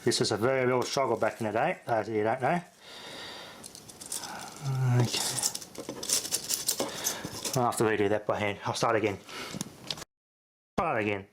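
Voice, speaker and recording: A man's voice: on the low side, moderate pace, long pauses. Australian man, casual talk over background noise. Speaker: host of a repair channel. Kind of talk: casual unscripted demonstration talk.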